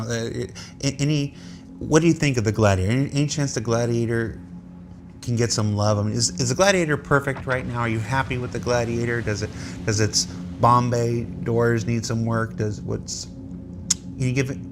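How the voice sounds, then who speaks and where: Wry male panel host over noisy rumble. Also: normal speed. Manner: wry, self-deprecating. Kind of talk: casual live game developer Q&A.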